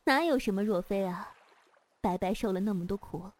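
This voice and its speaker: A woman's voice: medium pitch, normal speed, a little mellow, lightly acted. A woman playing a seductive, proud female sect leader in a wuxia audio drama, with a coy, teasing manner. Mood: gently caring. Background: music.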